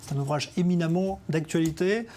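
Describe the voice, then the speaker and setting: A man hosting a TV studio interview, asking brisk, leading questions. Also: a little high, dark tone. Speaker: TV host.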